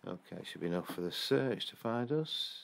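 Deep, gravelly man reviewing footage. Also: lively intonation, normal speed. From England. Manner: rambling, hesitant, many fillers and restarts. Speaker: paranormal investigator reviewing CCTV footage. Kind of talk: casual screen-recorded review commentary.

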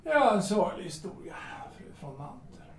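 Actor as a lively, high-pitched café proprietor. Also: male voice. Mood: sympathetic, musing. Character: bossy yet courteous male café owner, 1932 Vienna. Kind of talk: period audio drama acting. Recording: echoey room.

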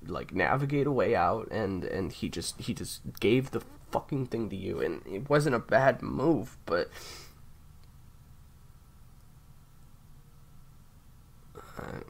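A man playing a grieving, guilt-ridden secret agent in an audio roleplay, choked up and halting, sometimes dropping toward a whisper. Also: lowish voice, gravelly, slight background noise, exaggerated voice. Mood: frustrated, regretful. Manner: halting, faltering, breaking into whispers. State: upset, choked up with grief. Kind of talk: intimate audio roleplay acting.

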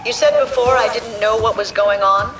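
An actress playing a commanding, indignant government leader in a video game, formal and authoritative. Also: female voice, a little high, moderate pace, lightly acted. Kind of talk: video game cutscene acting. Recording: echoey, distant, under loud music.

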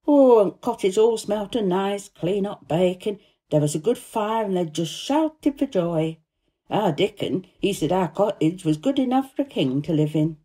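A woman playing a cheerful, warm-hearted young housemaid who gossips eagerly in broad Yorkshire dialect, in a storybook reading. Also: medium pitch, lively intonation, moderate pace, slightly rough. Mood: happy, excited.

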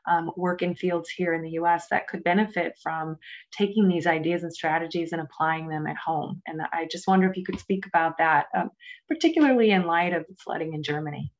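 A woman moderating a webinar over a video call, pausing often with fillers as she poses questions. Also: fairly low pitch. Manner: hesitant, many fillers. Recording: dull lo-fi video call audio.

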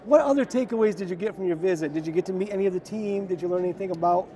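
A man's voice: high voice, racing, warm tone, a little hiss or hum. A man in his forties hosting a casual, unscripted interview on a clip-on mic. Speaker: channel host, interviewer. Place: large indoor car show hall. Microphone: clip-on wireless lapel.

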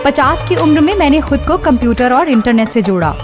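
A woman's voice: high voice, animated, a little fast, music in the background. A woman playing a confident blind woman who tells her life story in scripted radio narration. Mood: earnest, confident.

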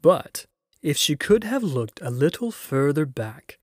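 A man's voice: fairly high pitch, very animated, a little slow, no echo, clean studio sound. A man voicing a majestic, noble lion in a storybook, speaking in a grand, emphatic way. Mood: solemn, grand. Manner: grand, solemn, emphatic delivery. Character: great noble male lion, majestic and joyful. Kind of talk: storybook character voice.

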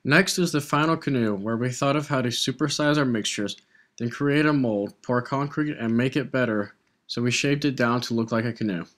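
A young male engineering student narrates his class project in an even, explanatory voice. His pitch sits in a normal middle range, and he talks at a steady pace. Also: normal speed. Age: young adult.

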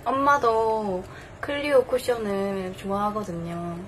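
A woman's voice: medium pitch, expressive, takes it slowly, a little hiss or hum. A young woman in her twenties with a Busan accent, chatting casually as a beauty vlogger in her bedroom, laughing often. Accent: Busan Korean. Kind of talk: casual makeup chat. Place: bedroom, indoors.

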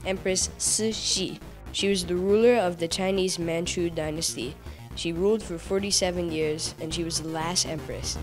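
Woman reading a list, low voice. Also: normal speed, hardly any pauses, soft background music, poor audio. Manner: reads from a paper list, steady and plain. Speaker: narrator. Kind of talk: read-aloud narration.

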